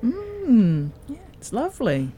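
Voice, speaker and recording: Lively, blunt, sardonic woman. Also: on the high side, takes it slowly, soft background music, heavily acted. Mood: dry, awkward. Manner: dry, offhand, bluntly teasing. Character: blunt, sardonic, jumpy woman visiting an old friend. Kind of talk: naturalistic audio drama acting.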